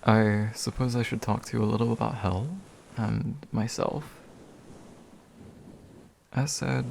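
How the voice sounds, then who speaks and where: A man playing a gentle, slightly bashful demon in a soft, soothing, intimate ASMR comfort roleplay. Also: lowish voice, gravelly, slight background noise. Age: young adult. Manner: soothing, hushed, unhurried, tender.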